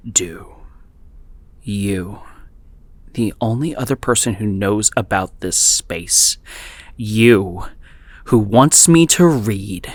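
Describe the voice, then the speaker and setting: Casting: male actor as weary obsessive journal keeper for horror podcast, very slow halting delivery, low voice, music underneath. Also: no echo. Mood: intent. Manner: halting, hushed, deliberate with dramatic pauses. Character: Isaac Andrews, weary obsessive man recording a private audio journal. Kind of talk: horror audio drama monologue. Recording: music behind the voice.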